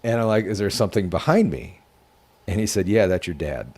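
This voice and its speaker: Man with a lowish voice, earnest and halting, casual podcast storyteller. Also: lively intonation, a little mellow, a little hiss or hum. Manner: earnest, emotional, halting retelling. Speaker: podcast co-host.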